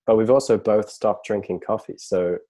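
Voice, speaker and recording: Mellow-voiced man chatting casually. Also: fairly low pitch, normal speed. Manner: relaxed, rambling with fillers. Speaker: podcast guest, designer. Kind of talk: casual chat. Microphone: video call.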